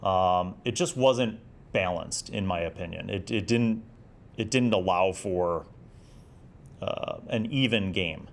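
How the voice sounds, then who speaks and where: A man in his forties giving a casual, nostalgic review in a conversational, halting way. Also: sits low, gravelly. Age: 40s. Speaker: host of a gaming magazine review series.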